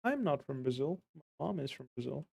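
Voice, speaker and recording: A man streaming a game, casually answering viewer questions in a relaxed, friendly way. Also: mid-range voice, brisk, vocal fry, a little hiss or hum. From Belgium. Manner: relaxed and friendly, with easy fillers.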